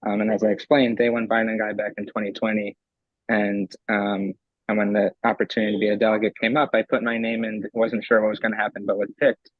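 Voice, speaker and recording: A young man from Eastern Pennsylvania, a convention delegate whose voice is raspy from screaming, answering eagerly with many ums in a remote interview. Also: lowish voice, little pitch movement, fast, dry recording, narrow, tinny audio. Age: young adult. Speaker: convention delegate and law student.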